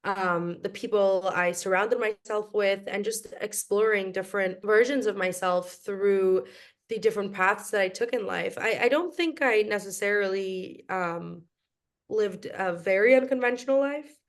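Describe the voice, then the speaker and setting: A woman's voice: medium pitch, a little slow. A young woman from New York, a podcast guest who speaks in a reflective, casual way with frequent ums and restarts. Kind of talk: casual interview chat.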